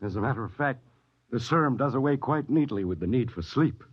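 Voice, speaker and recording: An actor playing a stern, strained spaceship captain, authoritative and dramatic, in the style of 1950s radio. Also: male voice, medium pitch, animated, brisk, slightly rough. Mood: bitter irony. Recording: dull old radio recording.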